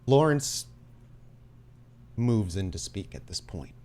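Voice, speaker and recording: A man with a low voice narrates a tabletop roleplaying game as its game master. His storytelling is deliberate and suspenseful. The recording carries some faint noise. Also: expressive, darkish tone.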